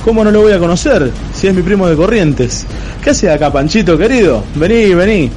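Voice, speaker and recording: Actor as high-voiced theatrical army captain. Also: male voice, animated, a little fast, a little mellow, slight background noise. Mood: effusive, joyful. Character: army captain, effusive, shrewd male comrade. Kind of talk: radio drama acting.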